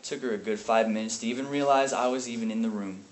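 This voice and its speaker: A man performing a dramatic monologue as a haunted, bitter, grieving brother, speaking in a halting, intense way. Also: fairly low pitch, voice pushed down, natural voice. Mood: haunted pain. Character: grief-stricken brother, haunted and bitter over family abuse. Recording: echoey, noisy room from far off.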